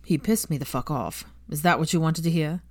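A woman's voice: deep voice, a little fast, a little mellow, slightly rough, dry recording. Sardonic young male wizard for story narration, played by a woman; haughty, scoffing, grudging, very dry recording. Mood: grudging irritation.

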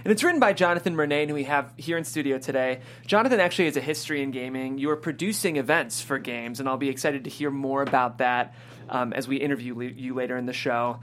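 A man's voice: mid-range voice, fast, a bit bright. A man hosting a script-reading show, presenting guests and reading out stage directions as a narrator. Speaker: host of a table-read show, also reads stage directions.

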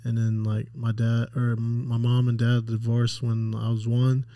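A man's voice: medium pitch, completely flat delivery, unhurried, warm tone, clean tone, no room sound, clean studio sound. A young adult man with an American accent, telling casual anecdotes in slangy speech sprinkled with swearing. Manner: slangy, swearing, hesitant restarts with many "like"s.

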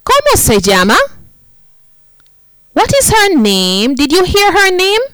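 A woman teaching a Spanish lesson to children, speaking slowly and repeating each point in Spanish and English. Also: very high voice, huge pitch swings, a bit bright, no echo. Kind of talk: bilingual classroom lesson.

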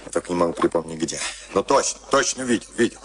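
An actor playing a huge, rough boxing trainer in a Soviet radio play, urgent and coaxing, repeating short commands. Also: male voice, deep voice, lively intonation, fast talker. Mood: conspiratorial, egging on.

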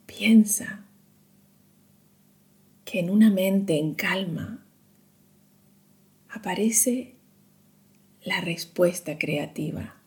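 Woman doing guided meditation, super slow w long pauses, mellow relaxed hushed voice, soothing. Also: medium pitch.

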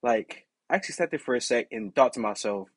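A young man with a deep, raspy voice hosting his own podcast, talking in a candid, rambling way with lots of "you know" and "like". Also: lively intonation, quick pace, no room sound, studio quality. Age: young adult. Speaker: podcast host. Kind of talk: casual solo podcast monologue.

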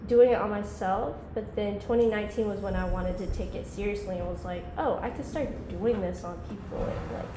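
A woman's voice: medium pitch, fairly quick, hardly any pauses, roomy sound, background noise. A woman in her twenties, a podcast guest, talking in a laid-back, rambling way with lots of "like". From Georgia, US. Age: 20s. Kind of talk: casual podcast chat.

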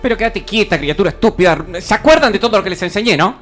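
A scornful wicked stepmother from a comic radio play, acted by a woman. She has an Argentine accent and scolds in haughty, very animated swoops, with faint music behind her. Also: medium pitch, quick pace, natural voice. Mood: scolding.

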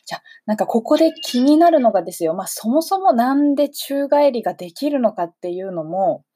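A woman's voice: on the high side, animated, brisk, warm tone, slightly rough. A woman hosting a conversational radio interview, politely and attentively affirming her guest. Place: radio programme recording.

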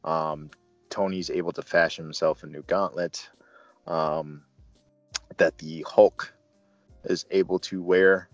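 Low-voiced man in roomy, muffled recording. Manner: chuckling, conversational, playful asides. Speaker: movie review host. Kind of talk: casual film discussion, recapping scenes. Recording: roomy, dull sound with music.

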